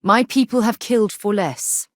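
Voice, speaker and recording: A woman playing an earnest, inquisitive, principled space explorer in a video game, with a husky voice and articulate, formal phrasing. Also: medium pitch, normal speed, dry recording, clean studio sound, natural voice. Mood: stern, upset. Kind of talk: scripted video game dialogue.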